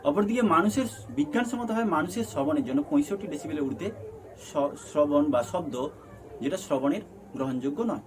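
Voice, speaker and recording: A man with a Bengali accent presenting a news explainer in halting, emphatic phrases. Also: on the high side, expressive. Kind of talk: scripted news explainer narration. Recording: noticeable background noise.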